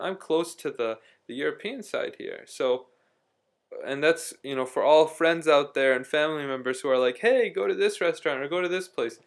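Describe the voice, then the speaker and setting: A man talking casually in a video diary, rambling through a story with lots of ums and restarts. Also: medium pitch. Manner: rambling, chatty, many fillers and restarts. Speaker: vlogger. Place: living in Istanbul.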